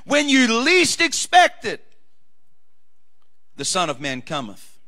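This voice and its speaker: A passionate pastor preaches loudly from the pulpit in a very high, gravelly voice. His tone is bright and tense. He swings from shouted scripture to quieter, plainer asides. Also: huge pitch swings, slow. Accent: Australian. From Australia. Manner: loud, swings between shouted climaxes and low quiet asides.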